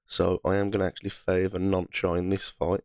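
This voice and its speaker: A man with a hoarse, croaky voice from being under the weather, chatting casually through fight predictions as host of his channel. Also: low-pitched, level tone, a little fast, darkish tone, dry recording. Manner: chatty, frequent "right" fillers.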